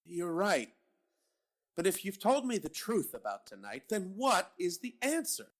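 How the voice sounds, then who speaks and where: Male actor as a hard-nosed police captain; blunt, sarcastic, lively pitch, radio drama. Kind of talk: old-time radio drama reading.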